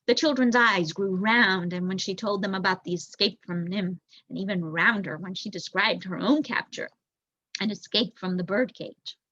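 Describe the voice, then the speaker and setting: A young woman reading a children's story aloud in an expressive narrating style. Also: mid-range voice, normal speed, no room sound, muffled recording. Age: young adult. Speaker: volunteer audiobook reader.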